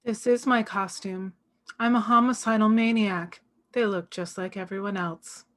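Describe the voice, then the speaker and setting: A woman playing a morbid, solemn little gothic girl with a deadpan delivery in a comedy table read. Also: medium pitch, moderate pace, lightly acted.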